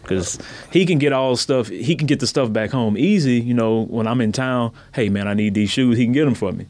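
A man's voice: medium pitch, fairly quick, a little mellow. A man from Louisiana, telling personal stories in a casual, slangy way as a podcast guest. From Baton Rouge, Louisiana, USA. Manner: slangy, conversational. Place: podcast studio.

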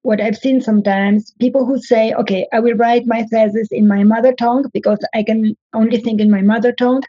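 A woman, a writing coach giving measured, instructive advice in an interview. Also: on the high side, steady tone, warm tone, breathy edge, no room sound, highs cut off. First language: French. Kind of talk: interview answers, advising.

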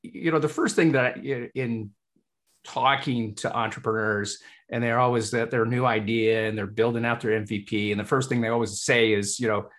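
A man from England hosting a casual interview, with halting phrasing that circles around its point. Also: medium pitch, fairly even intonation, a bit bright. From born in Hull, Yorkshire, England. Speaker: podcast host.

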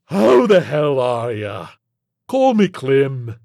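A man's voice: medium pitch, sing-song, slow, gravelly, darkish tone, no room sound, clean studio sound, pitched above the performer's own voice, exaggerated voice. A man voicing a huge tentacled alien in a story, brash, amused and breezily rude, with a folksy, profane manner. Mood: breezy, teasing.

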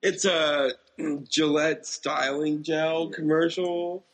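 A man's voice: a little high, steady tone, slow. An American man with a cold co-hosting a podcast, chatting casually in blunt, slangy language. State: has a cold. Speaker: podcast co-host. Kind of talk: casual chat.

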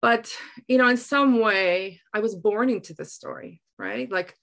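A middle-aged woman from South Africa with an Afrikaans accent, telling personal stories reflectively in an earnest way, often halting to search for words. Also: mid-range voice, a bit bright. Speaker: interview guest, writer. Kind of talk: reflective personal storytelling in conversation.

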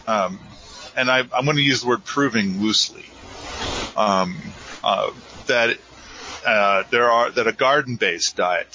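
A man's voice: medium pitch, sharp tone, muffled recording. A man hosting a live podcast in a rambling, casual, self-deprecating way. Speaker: podcast host.

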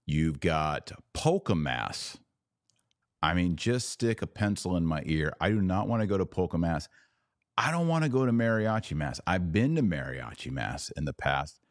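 A man's voice: low-pitched, big pitch swings, normal speed, no echo, clean studio sound. A man hosting a solo podcast, delivering opinionated commentary in a sardonic, emphatic way. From Texas. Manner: sardonic, emphatic, shifts pace often. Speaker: podcast host.

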